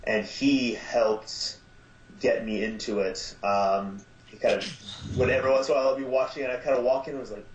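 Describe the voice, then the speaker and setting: A man guesting on a podcast, stopping and starting with plenty of ums and uhs, then perking up whenever he retells a moment. He speaks at an everyday pitch. The sound is echoey and a little dull. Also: slight background noise. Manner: halting, many fillers, lively when retelling. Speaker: guest, audio drama producer. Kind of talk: casual podcast chat.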